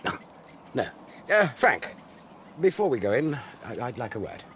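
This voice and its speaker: An actor playing a pompous, blustering British army Major in a radio drama, clipped and harrumphing. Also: male voice, medium pitch, sing-song, moderate pace, a bit bright, slight background noise. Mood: pompous, uneasy. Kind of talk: BBC radio drama dialogue.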